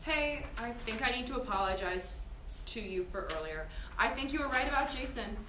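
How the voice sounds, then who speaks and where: A woman's voice: a little high, animated, a little fast, natural voice. A woman playing an urgent, earnest teenage girl at a screenplay table read. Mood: anxious. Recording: echoey, distant room mic with noise.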